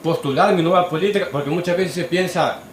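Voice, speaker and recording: A man's voice: sits high, fast. Argumentative man in an echoey room. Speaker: panelist. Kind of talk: casual discussion. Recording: echoey room with faint background noise.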